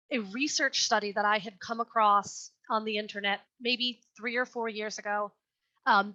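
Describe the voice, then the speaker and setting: A young woman reading her poem slowly and with care, then answering questions in an earnest, chatty way over a video call. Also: medium pitch, bright, forceful tone. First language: English. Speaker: poet reading her work. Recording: dull lo-fi video call audio.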